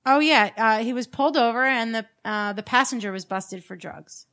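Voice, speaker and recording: A woman's voice: fairly high pitch, moderate pace, a bit bright. A woman co-hosting a podcast, chatting casually on a studio mic, matter-of-fact as she passes on news. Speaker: podcast co-host. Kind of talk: casual chat.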